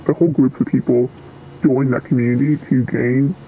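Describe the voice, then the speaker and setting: A teenage guest answering interview questions hesitantly, with many ums and likes. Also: male voice, medium pitch, steady tone, a little fast. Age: teens. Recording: tinny phone-like line with faint noise.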